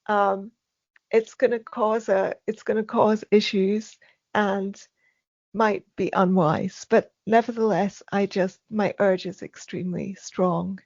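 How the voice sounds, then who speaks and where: A soft-spoken woman being interviewed, speaking haltingly with many ums and restarts. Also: mid-range voice, steady tone, no room sound, highs cut off. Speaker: podcast guest. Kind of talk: casual interview conversation.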